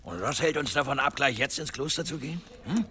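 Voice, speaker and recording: An actor playing a hot-tempered, suspicious village blacksmith, challenging and agitated, in a radio drama. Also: male voice, mid-range voice, lively intonation, a little fast, bright, forceful tone, a little hiss or hum. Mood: challenging, worried. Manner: gruff-worded, challenging, agitated.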